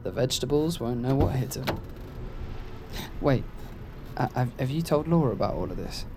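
A man's voice: mid-range voice, lively intonation, moderate pace, noisy background, close to an everyday voice. A man playing a teasing but concerned friend in an audio drama, casual and wry, with an American accent. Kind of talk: naturalistic audio drama acting.

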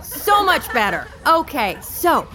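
An actress playing a perky, sarcastic tour guide with exaggerated enthusiasm, addressing schoolchildren in an audio drama. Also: female voice, high voice, sing-song, slow pace, crowd noise, full character voice. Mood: peppy, sarcastic. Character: peppy, sarcastic woman guide leading schoolchildren on company tour.

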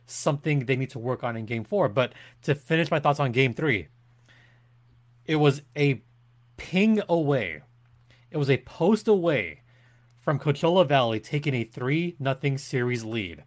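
Mid-pitched man talking sports analysis. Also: animated, a little slow. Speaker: podcast guest, sports PA announcer.

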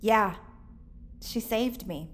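A woman's voice: mid-range voice, very slow, slight background noise. An actress playing a stubborn, wry young woman who fights monsters in an audio drama. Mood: matter-of-fact.

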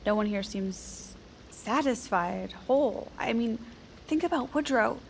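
An actress playing a blunt, quirky old confidante in an audio drama, deadpan with rambling odd humor. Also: female voice, on the high side, a little slow, gravelly, slight background noise. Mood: frank, regretful. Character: dry, quirky, frank old confidante of a First Lady. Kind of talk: audio fiction drama dialogue.

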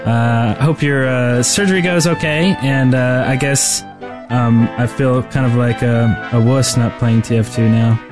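A man's voice: medium pitch, a little slow. A man co-hosting a podcast in a casual, rambling, hesitant and giggly way. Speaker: podcast co-host. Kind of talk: casual chat. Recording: music behind the voice. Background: music.

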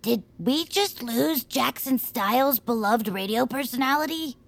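An actress playing an excitable, earnest, anxious sidekick with a squeaky, creaky voice in a comedy audio drama. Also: female voice, a little slow. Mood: alarmed, earnest. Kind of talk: audio fiction comedy acting.

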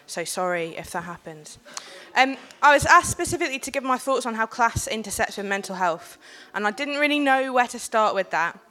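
A woman with a cold giving an earnest, personal talk on a panel in a packed room, building steadily to forceful points. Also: fairly high pitch, animated, a little fast. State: has a cold. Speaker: panel speaker and organiser.

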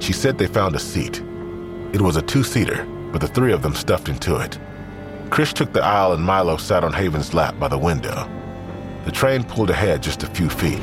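A man giving a measured, grave scripted narration of a crime drama. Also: low-pitched. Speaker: narrator of a fiction podcast.

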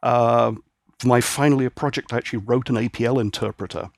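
A man's voice: medium pitch, fairly quick, vocal fry, no echo, clean studio sound. A man, from Northern Ireland and raised in England, talking easily and at length as a podcast guest from his home office. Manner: relaxed, easygoing, explains at length with fillers. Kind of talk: casual interview chat.

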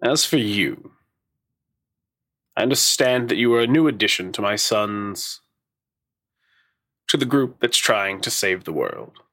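A man playing a gracious, fatherly elf king in a tabletop game, formal and measured in his speech. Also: fairly low pitch, lively intonation, fairly bright tone, heavily acted. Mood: formal, probing. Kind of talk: tabletop roleplay acting.

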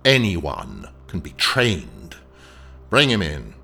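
An actor playing a cold, menacing corporate training engineer in an audio drama, speaking in a clinical, curt, commanding manner. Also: male voice, low voice, wildly swooping pitch, slow, creaky, pushed and bright, husky, faint music behind, heavily acted. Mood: curt, impatient.

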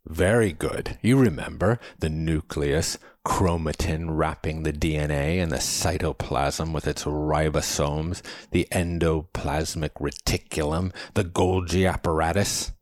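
A man's voice: on the low side, animated, slow pace, a little mellow, no echo, clean studio sound, voice pushed up. A man voicing a feisty, philosophical old man in an audiobook, lecturing with precise, scholarly diction. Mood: probing. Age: older. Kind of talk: audiobook character dialogue.

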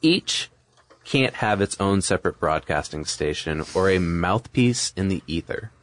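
A man's voice: low-pitched, animated, a little slow. A man co-hosting a podcast, explaining things in a casual, conversational way with asides. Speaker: podcast co-host.

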